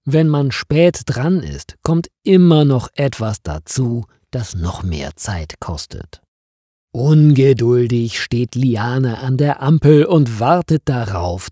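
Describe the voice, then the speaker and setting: A man narrating a scripted story for language learners in a deliberate, expressive way. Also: medium pitch, breathy edge, no echo, clean studio sound. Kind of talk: slow, clearly paced scripted story narration.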